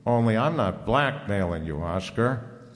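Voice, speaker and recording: Man playing a low-voiced, hard-boiled radio detective. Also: expressive, measured pace. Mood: accusing, sardonic. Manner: sardonic, slangy, hard-boiled. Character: cool wisecracking private detective, narrating his own case. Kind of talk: old-time radio detective drama. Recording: reverberant hall.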